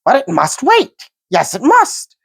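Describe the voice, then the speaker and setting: A slimy, wretched cave creature with a hissing, sibilant voice pitched high and swooping wildly. He whines and wheedles, drawing out his words. The sound is close and dry. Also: wildly swooping pitch, slow pace, creaky, a bit bright, slightly rough, dry recording, exaggerated voice. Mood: sly, wheedling. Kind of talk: audiobook character voice.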